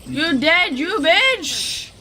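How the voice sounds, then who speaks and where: A woman's voice: extremely high pitch, lively intonation, extremely slow, bright, forceful tone. A chatty woman giving casual, joking, sweary live commentary as she streams a game.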